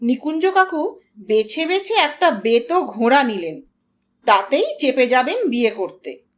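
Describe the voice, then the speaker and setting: A woman narrating a scripted story in an expressive, dramatic and deliberate way. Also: sits high. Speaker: audiobook narrator.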